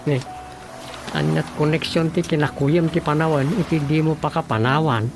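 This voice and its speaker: An actor with an Ilocano accent playing a grumbling village man in a radio comedy, muttering with drawn-out interjections. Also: fairly high pitch, fairly even intonation, creaky, soft background music. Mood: musing.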